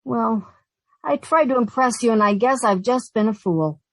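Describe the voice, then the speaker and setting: A long-suffering, status-conscious young woman played by an actress in a radio sitcom. She is emphatic and sensible, with a fairly high voice recorded close and dry. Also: moderate pace, a bit bright, no room sound. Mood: apologetic, embarrassed. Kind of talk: old-time radio sitcom acting.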